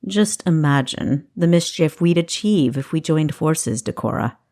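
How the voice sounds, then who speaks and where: A woman's voice: sits low, animated, unhurried, a little mellow. A woman voicing a cocky, charming male thief in an audiobook, with a sly, teasing manner. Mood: sly, insinuating. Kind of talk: audiobook character acting.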